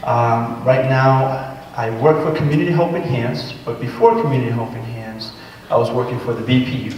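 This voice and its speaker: A man's voice: mid-range voice, steady tone, normal speed, a little mellow. Man speaking in a distant echoey hall. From Puerto Rico. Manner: personal, earnest, walks around while talking. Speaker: guest speaker on workforce development. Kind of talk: informal talk to a training group. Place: large echoey meeting room. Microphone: room mic from a distance. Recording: echoey hall, distant mic, faint noise.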